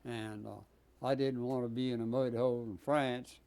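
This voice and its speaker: A man, a Navy veteran recounting his service in an oral history interview, speaking haltingly with many pauses and fillers. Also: medium pitch, fairly even intonation, warm tone, clean tone. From Texas. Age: 90s.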